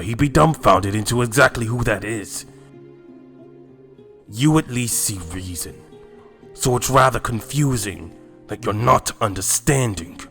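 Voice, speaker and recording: A man's voice: on the low side, vocal fry, pushed and bright, full character voice. A man playing a proud, blunt alien warrior in a fan-made anime drama, challenging with pointed questions.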